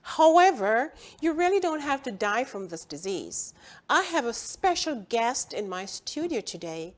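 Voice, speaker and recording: A woman's voice: on the high side, very animated, a little slow. A woman doctor hosting a TV health show in a studio, speaking emphatically and asking the viewer questions.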